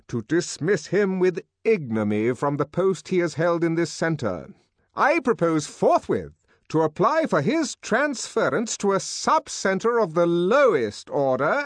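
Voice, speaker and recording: A man's voice: sits high, dry recording, pitched above the performer's own voice, full character voice. A man voicing a pompous, stern male director who orates grandly. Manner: pompous, orating.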